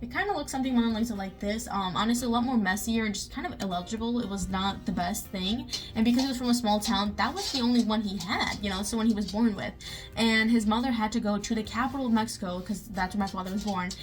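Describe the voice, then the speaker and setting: Young woman, casual how-to vlogger, rapid and filler-heavy, mid pitch. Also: soft background music. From United States. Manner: chatty, lots of fillers like "you know" and "um".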